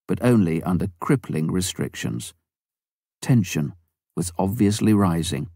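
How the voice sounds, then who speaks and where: A man reading a first-person memoir aloud as an audiobook narrator, in a measured, earnest manner. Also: deep voice, a little mellow, no echo, clean studio sound. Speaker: audiobook reader.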